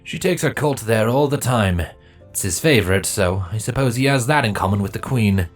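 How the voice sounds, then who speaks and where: Casting: a man as relaxed, wisecracking changeling dad for a storybook, mid-low pitch. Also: normal speed. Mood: joking. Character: easygoing joking changeling father. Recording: music behind the voice.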